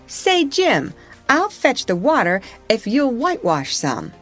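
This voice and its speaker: A woman's voice: fairly high pitch, wildly swooping pitch, slow pace, faint music behind, pitched above the performer's own voice, full character voice. A woman voicing an eager, mischievous young boy who coaxes and bargains. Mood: coaxing. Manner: coaxing, eager.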